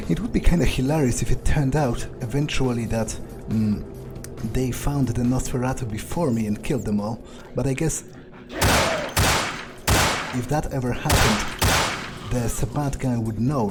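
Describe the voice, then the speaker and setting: A man with a mid-pitched voice thinks aloud in character as he plays a video game. He is musing and hesitant, swearing casually as he weighs things up. Music plays clearly behind his voice.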